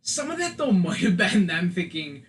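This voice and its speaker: Podcast co-host, male, 30s. Slightly high register, loose rambling delivery, joking and opinionated. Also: sing-song, moderate pace. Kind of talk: casual opinionated film chat.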